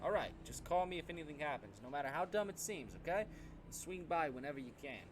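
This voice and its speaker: A man acts out an indignant, supportive friend from a visual novel. The voice sits higher and pushes its words with urgent emphasis. There is clear noise in the recording. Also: lively intonation, fast, close to an everyday voice. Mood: caring. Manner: emphatic, urgent, raised delivery.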